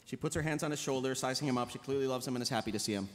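A man's voice: medium pitch, very fast, slight background noise. A man reading scripted stage directions as narrator at a live table read. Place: large convention hall panel stage. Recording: echoey convention hall PA.

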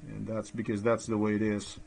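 A man's voice: fairly low pitch, monotone, brisk, vocal fry, darkish tone, a little hiss or hum, rough recording. A middle-aged man, not a native English speaker, rambling hesitantly with many fillers and restarts, recorded on a desk webcam in a small room. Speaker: vlogger. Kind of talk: rambling screen-share commentary.

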